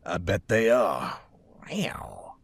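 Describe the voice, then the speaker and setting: An actor playing a grumpy, skeptical city cop in an audio drama, raspy, curt and sardonic. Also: male voice, low-pitched, big pitch swings, very slow, dry recording, exaggerated voice. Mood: exasperated, sarcastic. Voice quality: gravelly, raspy.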